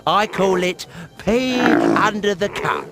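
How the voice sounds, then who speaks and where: A man playing a cheeky market vendor in a video game, with a gravelly voice. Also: sits high, wildly swooping pitch, slow pace, full character voice. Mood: cheeky, patter. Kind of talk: video game cutscene acting. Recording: loud music behind.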